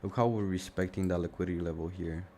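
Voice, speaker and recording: A young man with a Romanian accent talks loosely and off the cuff, thinking aloud with the odd curse. His voice sits very low. A faint noise hums behind him. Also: fairly even intonation, quick pace, darkish tone. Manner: casual, thinking aloud, swears freely. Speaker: live-streaming trader. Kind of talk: casual live-stream commentary. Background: music and mouse clicks.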